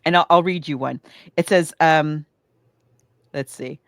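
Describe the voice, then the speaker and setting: A woman's voice: low-pitched, unhurried, no echo, studio quality. A woman co-hosting a podcast, chatting casually in a rambling storyteller's way and acting out quotes as she goes. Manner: rambling, animated storyteller who acts out quotes. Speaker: podcast co-host. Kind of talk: casual chat about books and films.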